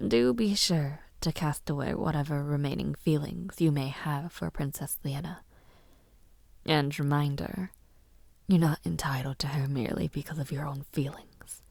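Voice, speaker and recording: Woman acting a slow, breathy villainess princess. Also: sits low, no room sound, exaggerated voice. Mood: mocking, scolding. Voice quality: breathy, often near a whisper. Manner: slow, teasing, condescending, with soft taunts. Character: haughty young villainess princess, mockingly sweet and menacing. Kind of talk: audio roleplay addressed to the listener.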